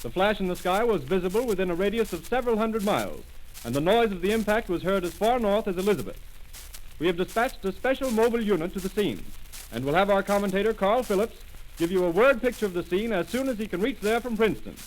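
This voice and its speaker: A formal studio announcer with a high, clear voice, reading the news bulletins in a measured way. A faint hiss runs under the old broadcast. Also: male voice, animated, rough recording. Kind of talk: 1930s radio drama acting. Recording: old radio broadcast recording with faint noise.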